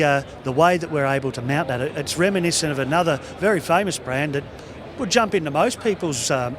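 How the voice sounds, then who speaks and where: A man casually interviewing about products on location at a trade show. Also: on the high side. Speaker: interviewer from an audio shop.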